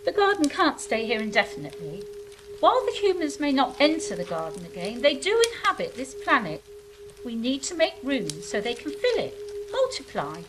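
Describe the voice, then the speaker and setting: An actress playing a composed, matter-of-fact angel in a stage drama. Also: female voice, high-pitched, huge pitch swings, normal speed. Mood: composed, explaining. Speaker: stage actor. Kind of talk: theatrical stage drama acting. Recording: clearly noisy stage recording with hum.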